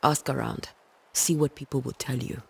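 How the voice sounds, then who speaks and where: An actress playing a composed, resolute woman ghost hunter in a video game, speaking in a measured, grave and reassuring way. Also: extremely low pitch, fairly even intonation, vocal fry, breathy edge, heavily acted. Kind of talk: video game cutscene acting. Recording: music under the dialogue.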